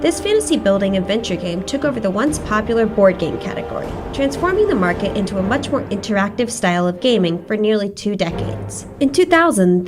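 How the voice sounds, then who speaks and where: A young woman giving scripted documentary narration in an earnest, expressive way, and asking interview questions. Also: mid-range voice. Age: young adult. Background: background music.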